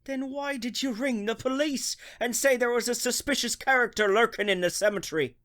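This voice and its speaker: A man's voice: very high voice, fairly quick, pushed and bright. A man playing an officious, suspicious working-class police constable in a radio drama, blustery and accusing. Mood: stern.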